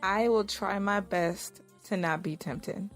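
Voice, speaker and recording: A woman's voice: mid-range voice, slow pace. Caring young woman, music behind. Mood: playful. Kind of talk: audio drama dialogue.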